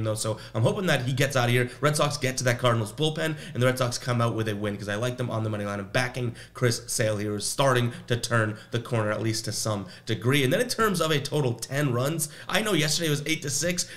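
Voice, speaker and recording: A young man runs through his betting picks in a loose, conversational ramble, often stopping to question himself. He talks quickly at a mid pitch. A slight rasp from a coming cold sits in his voice. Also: fairly bright tone. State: slightly raspy from coming down with something. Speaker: sports betting host. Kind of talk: fast casual betting picks monologue.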